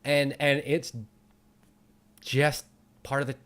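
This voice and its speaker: A man's voice: mid-range voice, flat delivery, takes it slowly, a bit bright. A man hosting a casual conversational interview, giving encouraging advice in an informal way. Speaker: talk show host.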